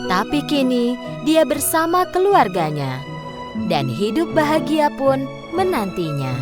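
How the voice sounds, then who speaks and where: A woman narrating a children's cartoon story in a lively, expressive way. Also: high voice, measured pace. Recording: narration over loud background music.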